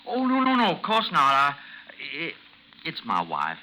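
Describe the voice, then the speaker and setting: A man's voice: a little high, wildly swooping pitch, unhurried, bright, forceful tone, full character voice. A man acting in a 1950s radio drama as a timid, chatty landlord and old soldier, with a Southern American accent and hesitant, stammering speech. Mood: nervous, apologetic. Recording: noisy 1950s radio broadcast recording.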